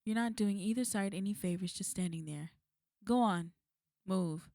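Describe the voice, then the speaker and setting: Woman acting a smug, mellow-voiced female villain. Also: mid-range voice, no echo, studio quality, lightly acted. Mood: cold, taunting. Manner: taunting, coolly threatening, unhurried. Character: smug, menacing female villain, fond of an enemy. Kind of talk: ASMR roleplay.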